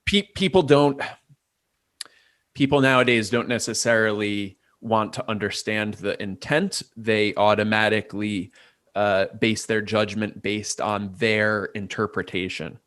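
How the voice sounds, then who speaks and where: A man's voice: lowish voice, steady tone, a little slow, a bit bright, no echo, clean studio sound. A man, a guest in a casual interview, thinking aloud and weighing ideas from many angles. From Port Chester, New York. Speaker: guest, artist and designer.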